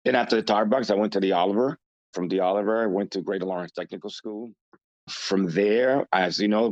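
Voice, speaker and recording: Deep-voiced man in his fifties. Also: no room sound, lo-fi sound. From Dominican Republic, raised in Massachusetts from age eight. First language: Spanish. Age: 50s. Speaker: interview guest. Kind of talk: conversational interview answers, personal reminiscence.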